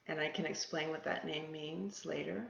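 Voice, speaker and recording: A guide leading a meditation in a gentle, unscripted manner with short, paused phrases and a creaky voice. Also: mid-range voice, fairly even intonation. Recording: roomy, echoing, slightly dull sound.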